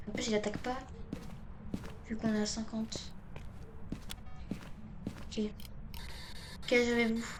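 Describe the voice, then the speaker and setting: A woman's voice: a little high, level tone, slow pace, long pauses. A woman giving casual video game commentary, thinking aloud to herself and often repeating her phrases. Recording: clear background noise, game ambience.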